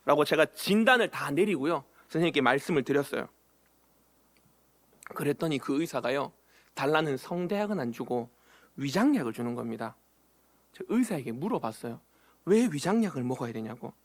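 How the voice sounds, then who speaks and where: High-voiced Korean pastor preaching. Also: male voice, lively intonation, moderate pace, pauses often. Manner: asks the congregation questions, acts out short quoted lines. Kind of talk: sermon. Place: church sanctuary.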